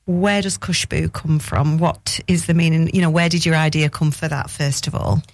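A middle-aged woman hosts a relaxed radio magazine show in a Northern English accent. Her voice sits fairly low, and she chats eagerly, often breaking in with her own asides. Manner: chatty, enthusiastic, quick to interject and laugh. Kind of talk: casual radio chat.

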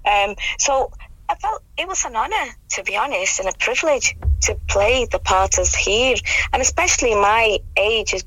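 A middle-aged woman from Britain, slightly hoarse, chatting enthusiastically as a radio studio guest. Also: a little high, lively intonation, normal speed, a bit bright, a little hiss or hum, low-quality recording. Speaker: radio guest, amateur actress. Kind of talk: casual radio interview chat.

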